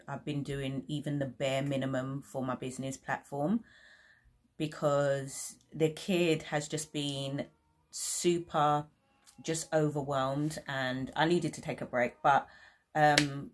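Low-voiced woman chatting candidly to camera. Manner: candid, rambling, many fillers and restarts. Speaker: vlogger. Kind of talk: casual sit-down chat to camera. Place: bedroom indoors.

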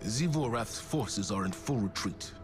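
A solemn military commander speaks with measured authority. His voice is very low and steady, made for video game drama, with music behind it. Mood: commanding.

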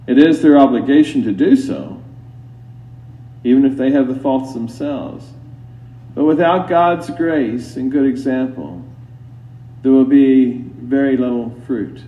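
Slow, solemn male priest preaching, distant and echoey. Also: medium pitch, dark tone. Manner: solemn, deliberate, admonishing, with long pauses. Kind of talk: sermon. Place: inside a church. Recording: roomy church, distant mic, dull, faint hum.

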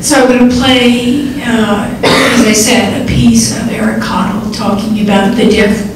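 Soft spoken lady introducing clips, lots of ums, kinda high, echoey far mic. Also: little pitch movement, a little slow. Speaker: oral historian presenter. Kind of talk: informal talk to audience. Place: library meeting room with audience. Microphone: distant room mic. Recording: echoey hall, distant mic, audible noise.

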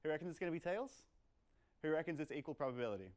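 A man's voice: fairly high pitch, expressive, rapid-fire, darkish tone. A young man presenting an informal lecture to a meetup in a lecture room, in a playful, conversational manner with plenty of "like" and "kind of". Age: young adult. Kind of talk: informal live lecture with audience questions. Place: medium-sized lecture room, indoors.

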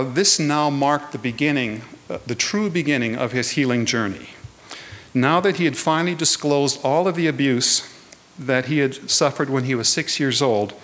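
A man gives a prepared public talk in a measured, earnest way. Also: medium pitch, a bit bright. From Canada. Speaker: guest speaker, hearing adjudicator. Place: indoors at a lectern in a hall.

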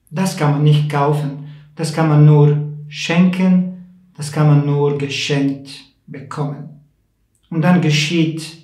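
A man, a deacon, giving a measured, preacherly Christmas message. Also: on the high side, darkish tone. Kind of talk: Christmas message, slow and solemn. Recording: echoey room, mic at a distance.

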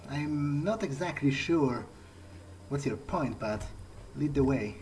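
A man's voice: medium pitch, expressive, slow, darkish tone, a little hiss or hum. A man doing in-character roleplay for a game playthrough, casually and hesitantly musing aloud. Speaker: gameplay video creator.

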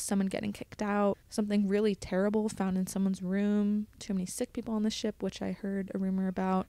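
A woman's voice: mid-range voice, a little mellow, no echo. Chatty young woman, close dry sound. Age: young adult. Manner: chatty, explanatory with frequent asides. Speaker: podcast host, college student studying at sea. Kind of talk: casual solo podcast storytelling.

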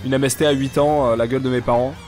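A young man with a stuffy nose, chatting casually and joking deadpan with viewers while he streams a game. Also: mid-range voice, fast, soft background music. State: congested, sick with sinusitis. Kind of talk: casual live-stream chat while gaming.

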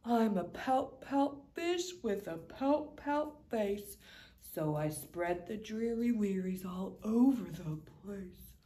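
A woman's voice: mid-range voice, expressive, slow, dark tone. A woman voicing a gloomy male fish with a droning, mopey voice in a storybook reading. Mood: glum. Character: glum male pout fish, gloomy and sulky.

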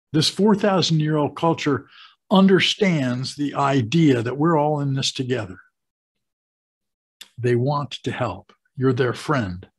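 A man in his sixties with an American accent talks to camera as a host, explaining things deliberately with pauses for emphasis. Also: medium pitch, husky. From United States. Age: 60s. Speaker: host of a retirement advice channel. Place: small home office, indoors. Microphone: webcam video call setup.

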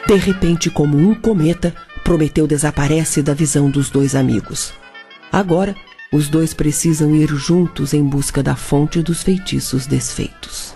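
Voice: medium pitch, measured pace, vocal fry, dark tone. A narrator with a Brazilian accent reading scripted narration for a radio drama. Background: music.

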